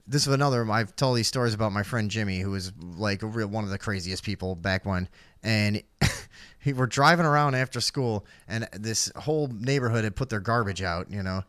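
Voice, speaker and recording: A man's voice: on the low side, moderate pace, fairly bright tone, dry recording, clean studio sound. A man co-hosting a talk show, telling comedic stories casually with plenty of swearing and slang. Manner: profane, slangy.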